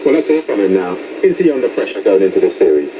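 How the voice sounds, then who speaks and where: Quick-talking male sports presenter on noisy shortwave. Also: on the low side, big pitch swings. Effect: shortwave radio. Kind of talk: broadcast presenting, questioning guests. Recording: muffled, noisy shortwave radio broadcast.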